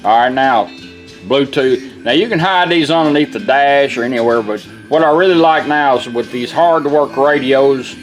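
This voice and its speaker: A man's voice: mid-range voice, moderate pace, soft background music. A man giving a casual, folksy product demonstration, explaining things step by step in an informal way. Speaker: radio restorer demonstrating a product.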